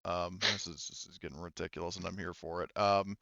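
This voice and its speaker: A man running a tabletop roleplaying game as game master, talking casually and haltingly as he thinks aloud through the rules. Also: low voice, steady tone, vocal fry, pushed and bright, no room sound, highs cut off.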